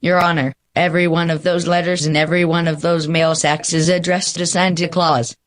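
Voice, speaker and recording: Theatrical courtroom advocate played by a man, lightish higher pitch, confident and formal, radio drama. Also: flat delivery, a little fast, fairly bright tone, natural voice. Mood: triumphant. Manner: formal, emphatic oratory. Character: confident, theatrical defense lawyer. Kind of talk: radio play courtroom acting.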